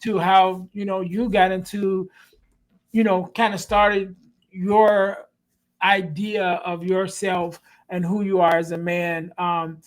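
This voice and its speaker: High-voiced male podcast host. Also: flat delivery, slow. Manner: hesitant, full of fillers and restarts while asking. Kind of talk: interview questions.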